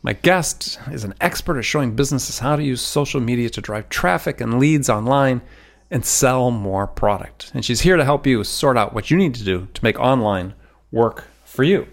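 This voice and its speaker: A man's voice: medium pitch, animated, moderate pace. A man from the United States hosting a sales podcast interview. He talks plainly and stops to explain terms for his listeners. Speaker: podcast host.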